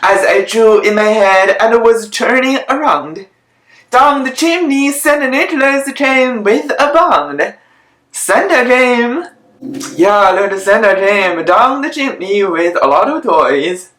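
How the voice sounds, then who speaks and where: A storyteller reading children's stories aloud in a halting, deliberate way, with simple conversational asides. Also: mid-range voice, expressive, fairly bright tone. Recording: roomy, echoing home recording.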